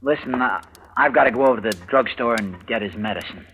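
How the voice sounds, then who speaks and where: Actor as tense, resentful young husband, bright edgy voice, old radio hiss. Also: male voice, mid-range voice, fast talker, dull audio, natural voice. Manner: tense, brooding, flaring into anger. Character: bitter young husband trapped caring for rich uncle. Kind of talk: 1940s radio acting.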